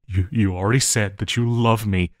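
A man playing an obsessive, lovesick supervillain in an intimate audio roleplay, halting and crooning, by turns sinister and pleading. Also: fairly low pitch, steady tone, no echo, clean studio sound, natural voice. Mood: panicked, pleading. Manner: halting, crooning, breaking into laughter.

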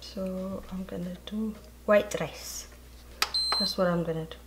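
A woman's voice: mid-range voice, steady tone, unhurried, very noisy. A woman, a native Tigrinya speaker, chatting her way through a cooking demonstration at home and often speaking straight to her viewers. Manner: chatty, explains step by step, often addresses viewers directly. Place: home kitchen, indoors. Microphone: camera mic, speaker off-screen behind the camera. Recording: echoey home kitchen.

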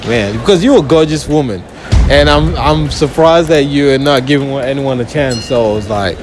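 A man from Nigeria hosting a casual street interview in a store, asking drawn-out questions and laughing easily. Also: mid-range voice. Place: indoor clothing store and outside a store. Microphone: handheld phone held toward guests. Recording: background music under voices.

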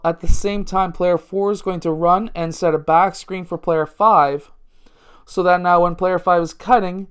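A man's voice: sits high, fairly bright tone, clean tone. A man, a basketball coach talking through plays step by step in a casual instructional way. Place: small indoor room at home.

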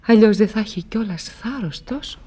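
An actress playing an innocent, timid young maiden in a radio play, musing to herself in a breathy, sometimes hushed voice. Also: female voice, mid-range voice, natural voice. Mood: curious, dreamy. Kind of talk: theatrical radio drama acting.

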